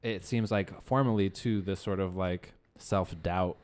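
A man's voice: low-pitched, a little slow, darkish tone. A man co-hosting a casual talk about a poem, reflective and hedging his points.

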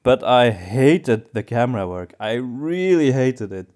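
Mellow-voiced man in relaxed discussion. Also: medium pitch, measured pace. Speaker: podcast co-host. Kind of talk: casual discussion of storytelling.

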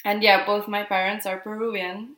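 A young woman from Peru, whose first language is Spanish, talks freely in a casual interview, her voice at a middle pitch. She strings her thoughts together with lots of "like" and a questioning "no?" at the ends of sentences. The room around her is echoey. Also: level tone, moderate pace, a bit bright. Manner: chatty, lots of "like" and tag "no?". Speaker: podcast guest.

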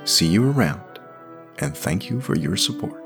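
A man's voice: deep voice, expressive, normal speed, over music. A man reading scripted narration and announcements as the writer and narrator of a podcast.

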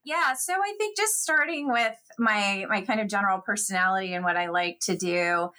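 A woman talks as a professional guest in an interview. Her voice sits at an average pitch. She explains things conversationally, often qualifying what she says. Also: animated, normal speed, pushed and bright.

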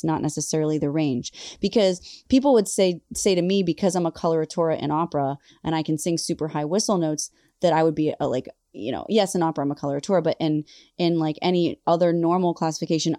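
A woman host and vocal coach chatting casually on a podcast, bubbly and animated, laughing often. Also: sits low, dry recording, clean studio sound. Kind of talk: casual chat.

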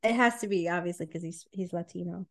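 A woman's voice: on the low side, quick pace. A woman co-hosting a podcast in casual chat, with a blunt, joking manner. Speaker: podcast co-host.

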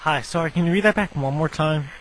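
Higher-voiced man on a noisy phone call. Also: fast talker. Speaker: prank caller. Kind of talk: feigned apologetic phone conversation.

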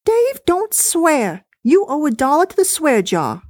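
A woman voicing a fussy, chatty older wife talking on the phone, high-pitched and bossy. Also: huge pitch swings, unhurried, a little mellow, no echo, studio quality, exaggerated voice. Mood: chirpy, bossy.

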